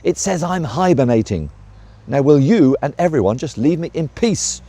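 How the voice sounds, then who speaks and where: A man's voice: on the high side, very animated, normal speed, warm tone, breathy edge, full character voice. A man voicing a grumpy, lazy young male dragon who talks in a snappish, curt way. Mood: irritable.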